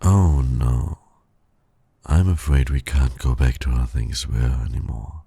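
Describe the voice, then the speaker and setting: Guy doing a suave villain flirting with his nemesis, super low gravelly voice, teasing asmr roleplay, intimate and possessive. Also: fairly even intonation, dry recording, heavily acted. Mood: coaxing, persuasive. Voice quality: very deep, gravelly, husky. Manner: slow, breathy, suave teasing with soft chuckles.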